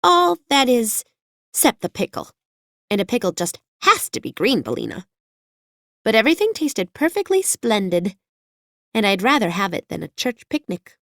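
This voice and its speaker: A woman's voice: on the high side, wildly swooping pitch, moderate pace, gravelly, no room sound, clean studio sound, pitched above the performer's own voice, heavily acted. A woman voicing a plucky, chatty little girl with an earnest, folksy manner in an audiobook. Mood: chatty, sure. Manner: earnest, confident, folksy childlike speech. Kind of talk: audiobook character voice.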